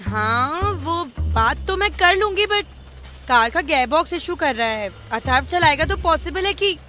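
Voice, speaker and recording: A woman's voice: high-pitched, lively intonation, a little slow, sharp tone, slight background noise, full character voice. A woman playing an outspoken young business partner in an audio drama, casual and indignant, mixing in English words. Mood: casual, insistent. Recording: music under the voice.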